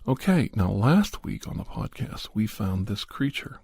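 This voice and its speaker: A man with a lowish, gravelly voice hosts an ASMR podcast. He explains things informally and without hurry, keeping it hushed and close to the mic. The sound is very dry, with no sense of a room. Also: very animated, measured pace, dry recording. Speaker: podcast host. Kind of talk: ASMR, rambling update and story recap.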